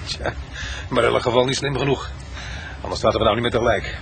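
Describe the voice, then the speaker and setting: An actor playing a matter-of-fact police detective in a radio crime drama, sceptical and plain-spoken. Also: male voice, mid-range voice, fairly even intonation, quick pace, vocal fry, a bit bright. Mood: pondering. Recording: noisy old radio drama recording.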